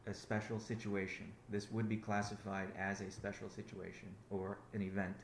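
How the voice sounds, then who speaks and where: A man's voice: fairly low pitch, little pitch movement, moderate pace, vocal fry, reverberant, a little hiss or hum. A man giving a rambling business update on a conference call, often restarting his sentences. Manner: rambling, self-correcting, many restarts. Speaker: company founder on a conference call.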